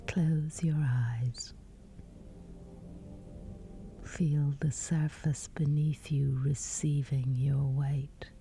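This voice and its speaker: A woman guiding a sleep meditation in a breathy, whispery voice, soothing and measured with long pauses. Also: low-pitched, steady tone, warm tone, slight background noise. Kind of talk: guided sleep meditation, scripted.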